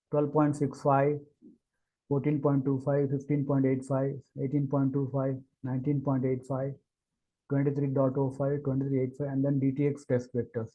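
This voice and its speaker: Halting man with a mellow voice. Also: medium pitch, muffled recording. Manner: halting, many fillers and restarts. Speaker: engineer giving a product demo. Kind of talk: screen-recorded technical walkthrough.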